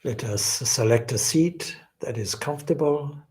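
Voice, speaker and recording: Very slow-paced man guiding meditation. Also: medium pitch, darkish tone. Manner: slow, deliberate, with long pauses. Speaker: swami leading a guided meditation. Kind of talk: guided meditation.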